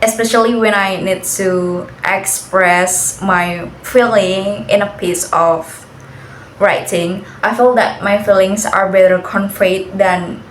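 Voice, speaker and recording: A young Indonesian woman talks in English in a casual student interview, pausing to search for words and laughing softly now and then. Her voice sits at a middle pitch. The room rings with echo, and a faint hiss lies underneath. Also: steady tone. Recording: echoey room, faint background noise.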